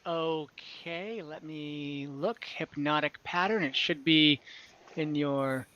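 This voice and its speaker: A male game master with a high voice and a bright, forward tone. He narrates an online tabletop game at a slow pace. He improvises as he goes, often hesitating and thinking aloud. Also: highs cut off.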